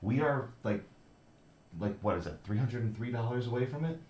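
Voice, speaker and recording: A man's voice: medium pitch, moderate pace, a little mellow. A man hosting a charity stream in casual chat, making playful, emphatic appeals. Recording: roomy echo, faint background noise.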